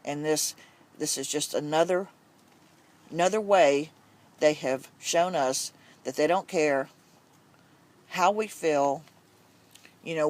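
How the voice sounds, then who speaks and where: A man addressing his viewers, frustrated, repeating himself as he restarts his sentences. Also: on the high side. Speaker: video poster addressing viewers. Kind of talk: frustrated personal commentary.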